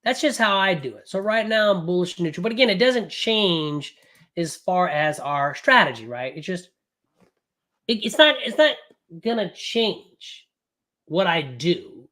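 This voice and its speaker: A man running a live trading class, recovering from a cold, talking in a loud, brash and profane way with lots of rambling asides. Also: high-pitched, big pitch swings. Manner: casual, fast and rambling, with frequent "right?". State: recovering from a cold, voice not fully back. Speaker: day trading coach.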